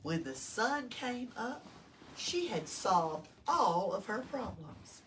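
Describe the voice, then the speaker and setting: Southern US woman, storytime read-aloud, lively swooping pitch, unhurried, picked up from a distance with light noise. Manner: expressive, engages listeners with questions. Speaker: storytime reader at a library. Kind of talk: read-aloud to children with questions and asides. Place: indoors in a library. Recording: distant mic, noticeable room noise.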